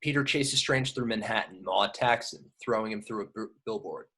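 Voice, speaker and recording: A man's voice: on the low side, brisk, fairly bright tone, breathy edge. A man narrating scripted action directions in an amateur table read of a film. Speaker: narrator reading stage directions.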